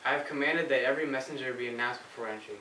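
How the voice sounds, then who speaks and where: A man's voice: medium pitch, very fast, sharp tone, lightly acted. A man playing a pompous, self-absorbed French king in an amateur stage play, declaiming haughtily. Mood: haughty, dismissive. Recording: distant echoey amateur recording with hiss.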